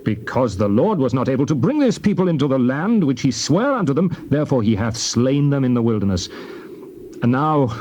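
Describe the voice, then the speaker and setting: A man playing an earnest prophet pleading with God in a dramatized scripture recording, reverent and measured. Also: medium pitch, sing-song, darkish tone, a little hiss or hum, lightly acted.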